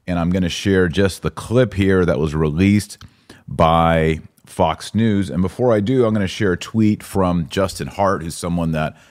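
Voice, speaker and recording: A man with an American accent and a low voice speaks as a podcast host. He comments on the news to his audience in an informal, conversational style, stating his opinions plainly. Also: a little slow, a little mellow.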